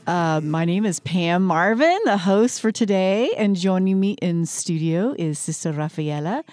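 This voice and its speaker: Upbeat woman radio host, low-pitched. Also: expressive, a little slow, a little mellow. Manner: enthusiastic, upbeat interjections. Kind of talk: radio talk show. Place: radio studio.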